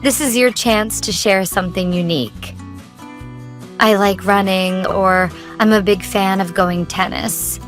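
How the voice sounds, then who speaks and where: A woman's voice: mid-range voice, fairly even intonation, a little slow. Woman explaining English basics, guitar behind. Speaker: co-host. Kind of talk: scripted English lesson dialogue. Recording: soft guitar music behind.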